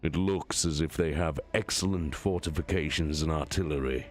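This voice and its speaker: A man voicing a commanding, calculating warlord in a sci-fi story reading, speaking deliberately with cold contempt. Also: a bass voice, soft background music. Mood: measured, strategic. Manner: slow, deliberate, imperious. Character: commanding, calculating male warlord, coldly contemptuous of his enemies. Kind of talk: dramatic audiobook-style reading of science fiction.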